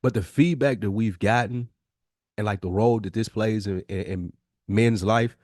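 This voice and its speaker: A low-voiced man with an American accent, recorded close and dry. He hosts in an informal, slangy way, swearing freely as he chats with a friend. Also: moderate pace, darkish tone, no room sound. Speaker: podcast host. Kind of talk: casual banter and chat between friends.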